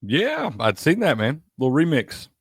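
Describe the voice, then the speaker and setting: A man's voice: on the high side, wildly swooping pitch, moderate pace, slightly rough, no room sound, studio quality. A man co-hosting a sports betting stream, laid-back and drawling, who ends his sentences with "man.". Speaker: co-host. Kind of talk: casual live-stream chat.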